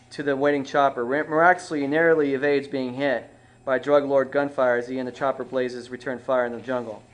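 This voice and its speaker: A man reading screenplay stage directions aloud at a table read, plainly, with small stumbles and self-corrections. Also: mid-range voice. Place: small dim indoor room.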